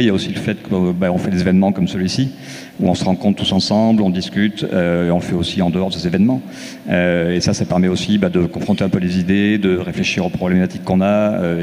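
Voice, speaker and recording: A man's voice: low-pitched, a little mellow. A man speaking as a panelist on a conference stage, explaining fluently. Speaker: studio co-founder, panelist. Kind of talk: panel discussion. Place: conference stage in a large hall. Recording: reverberant hall.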